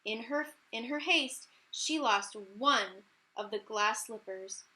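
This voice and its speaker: A woman reading a children's story aloud as a teacher, in an animated, emphatic way with key words drawn out. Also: on the high side, slow pace, rough recording. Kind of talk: expressive children's read-aloud narration.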